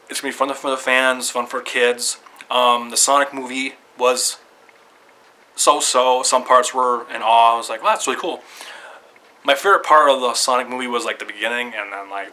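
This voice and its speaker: A man giving casual, rambling opinions to viewers in an excitable fan's manner, often repeating himself. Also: mid-range voice, rough recording. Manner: excitable fan talk, repetitive, loosely structured. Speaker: video creator giving opinions.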